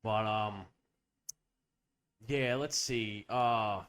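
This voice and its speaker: A man's voice: lowish voice, very slow, a bit bright, clean tone. A young man hosting a comedic podcast, rambling and riffing with profanity and playful bits. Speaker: podcast host.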